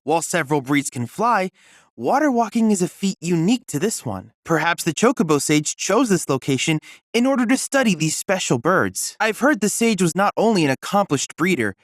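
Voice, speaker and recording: A boyish android research assistant from a video game, polite and endlessly curious. His phrasing is formal and precise, with pitch that moves in lively swings. The recording is close and dry. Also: no room sound. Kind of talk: scripted video game character dialogue.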